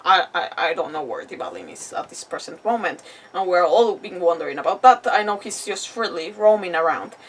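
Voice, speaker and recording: A woman livestreamer giving casual gameplay commentary, thinking aloud in a halting, giggly way. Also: lowish voice, very animated, breathy edge, recorded from a distance. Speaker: game livestreamer.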